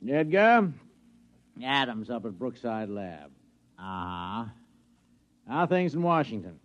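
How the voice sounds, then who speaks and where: A man's voice: medium pitch, sing-song, takes it slowly. An American man playing a busy security supervisor in an old radio drama, chatty and affable but impatient and condescending. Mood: affable, businesslike. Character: busy, brusque, chuckling male security supervisor. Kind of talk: 1950s radio drama acting. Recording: muffled old radio recording.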